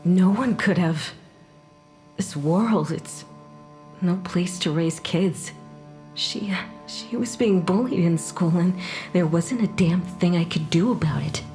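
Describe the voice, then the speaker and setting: An actress playing the ghost of a murdered young mother in an audio drama, sharp-tongued and emotional, halting when upset. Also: on the low side, soft background music. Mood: grieving, bitter. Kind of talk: dramatic audio fiction acting.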